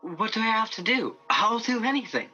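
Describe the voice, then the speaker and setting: An actor playing a nervous, eager angel in a radio play, hesitant and awkward, on a lo-fi call recording. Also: male voice, high-pitched, sing-song, moderate pace, pushed and bright, slightly rough, exaggerated voice. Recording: dull lo-fi video call sound, music behind.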